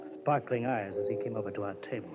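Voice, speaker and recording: A man's voice: lowish voice, quick pace, close to an everyday voice. Man acting bitter drunken husband, muffled old radio. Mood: brooding, paranoid. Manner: sarcastic, taunting, melodramatic. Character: bitter, sardonic drunken husband, brooding and paranoid. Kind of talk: 1940s-50s radio drama acting with brooding narration. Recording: muffled vintage radio recording with music.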